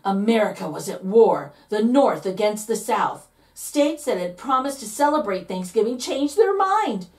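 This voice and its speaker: A woman's voice: mid-range voice, sing-song, measured pace, hardly any pauses, far from the mic. A woman reading a picture book aloud to children, dramatic and playful, drawing out key words for emphasis. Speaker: read-aloud host, storyteller.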